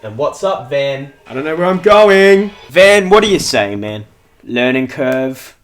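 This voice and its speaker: A man's voice: medium pitch, animated, unhurried. A nineteen-year-old man streaming a game, chatting casually in a rambling, talkative way while answering chat, with a voice that sounds younger than his age. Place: at home in front of a big TV.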